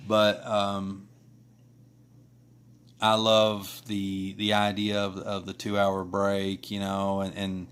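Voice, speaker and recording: Guy rambling on a late-night drawing stream, kinda low voice, lots of uh and you know. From Kentucky. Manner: rambling, many restarts and fillers. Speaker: artist streaming live. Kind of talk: casual chat while drawing.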